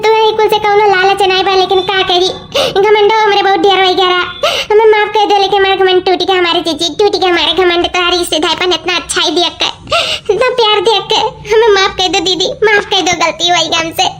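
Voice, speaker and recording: Humble village sister, Awadhi accent, childlike extremely high pitch, effusive cartoon melodrama. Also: level tone, fairly quick, a bit bright. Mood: remorseful pleading. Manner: pleading, effusive, repeating phrases.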